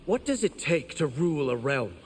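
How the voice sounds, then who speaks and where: Earnest young ninja, reverberant. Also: male voice, on the high side, sing-song, moderate pace, a little mellow, breathy edge. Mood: earnest, respectful. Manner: polite, sincere. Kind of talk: dramatic video game acting. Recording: long reverb, faint background noise.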